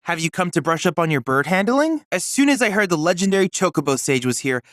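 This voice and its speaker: A boyish android research assistant from a video game, polite and endlessly curious. His phrasing is formal and precise, with pitch that moves in lively swings. The recording is close and dry. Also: fast, no echo. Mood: eager, scholarly. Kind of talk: scripted video game character dialogue.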